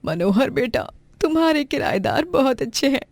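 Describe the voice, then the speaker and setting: A woman's voice: high voice, very animated, moderate pace, gravelly, a little mellow, dry recording, exaggerated voice. A woman voicing a kindly, grateful old village mother in a cartoon moral story, with an affectionate manner.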